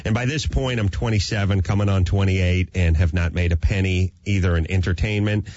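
Middle-aged male podcast host, lowish voice, self-mocking storyteller, dry close sound. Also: a little fast, no room sound. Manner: animated, self-deprecating storytelling. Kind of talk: casual podcast chat. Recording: slightly dull, dry podcast audio.

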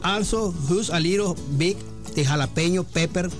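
A man who cooks at home, a guest speaking English in simple, halting phrases. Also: sits high, over music. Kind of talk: casual chat.

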